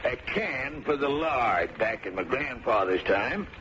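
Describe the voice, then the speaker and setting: An actor playing a talkative, touchy old farmer with a folksy rural American drawl and creaky voice in an old-time radio drama. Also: male voice, mid-range voice, lively intonation, unhurried, bright, forceful tone. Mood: proud, secretive. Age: older. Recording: muffled, noisy old radio recording.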